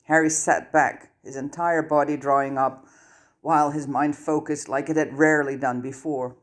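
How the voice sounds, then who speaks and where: Casting: female audiobook narrator with a low-pitched voice for scripted fiction reading. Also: fairly even intonation, normal speed.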